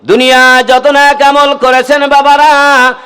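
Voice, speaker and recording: A man's voice: very high-pitched, completely flat delivery, measured pace, pushed and bright. A man with a Bangladeshi accent preaching an Islamic sermon, exhorting his listeners and moving between speech and melodic chanting. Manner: exhortative, calls on listeners to respond. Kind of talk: waz sermon to a gathering, with melodic chanting of verses and rhymes.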